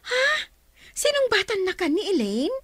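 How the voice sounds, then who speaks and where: An actress playing a playful, nosy young sister in an Ilocano radio drama, with an Ilocano accent. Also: female voice, very high voice, wildly swooping pitch, moderate pace, fairly bright tone, dry recording, clean studio sound, heavily acted. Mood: playful, curious.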